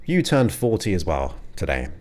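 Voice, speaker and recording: A man's voice: sits low, big pitch swings, normal speed, music in the background. A man in his forties hosting a livestream, chatting casually and rambling as he thanks viewers by name. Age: 40s. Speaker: livestream host. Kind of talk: casual chat with live viewers.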